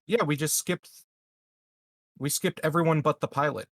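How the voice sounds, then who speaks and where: Higher pitched dude in a tabletop rpg, butts in, repeats himself, casual, dry mic. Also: male voice, fairly even intonation, moderate pace, fairly bright tone, dry recording. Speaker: tabletop game player. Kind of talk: casual, joking game chat.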